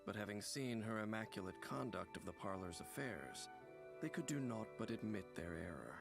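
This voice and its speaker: A man giving scripted promotional narration for a game, in a measured, formal storytelling delivery. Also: on the low side, animated, gravelly. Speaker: video narrator. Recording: music playing behind the voice. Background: music.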